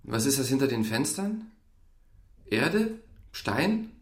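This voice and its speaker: An actor playing a bewildered, anguished man in an audio drama, emotional and halting, full of questioning. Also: medium pitch, sing-song. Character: bewildered, anguished man insisting on his identity. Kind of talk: German audio drama acting.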